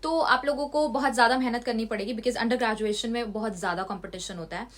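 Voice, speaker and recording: A woman's voice: a little high, brisk. A young woman from India talking casually to her channel, switching between Hindi and English as she explains and answers questions. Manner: mixes Hindi and English, explanatory, slightly defensive. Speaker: student vlogger. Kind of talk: casual vlog Q&A.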